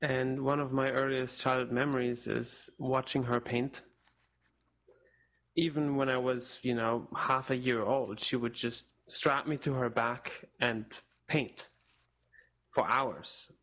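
A young man from Spain, raised in Portugal, whose first language is German, speaking as an interview guest in a reflective, halting way with frequent restarts. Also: medium pitch, fairly even intonation, fairly bright tone, low-quality recording. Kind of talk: casual interview talk.

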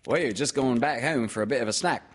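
A man voicing a fussy, distracted mother kangaroo in a storybook, brisk and motherly. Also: medium pitch, slightly rough, in a large hall, voice pushed up, lightly acted. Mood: cheery greeting.

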